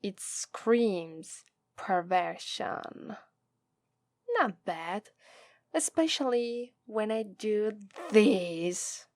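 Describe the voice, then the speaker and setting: A woman with an Italian accent voicing a gloating, teasing female demon captor in a slow, drawling ASMR roleplay. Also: medium pitch, no room sound. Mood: taunting. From Italy. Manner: slow, drawling, taunting, playful menace.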